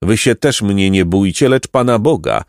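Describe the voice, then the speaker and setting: A man's voice: on the low side, lively intonation, normal speed, darkish tone, no echo, clean studio sound. Man voicing an ancient, stern storybook raven. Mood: stern scorn. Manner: solemn, condemning, oracular. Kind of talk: storybook character reading.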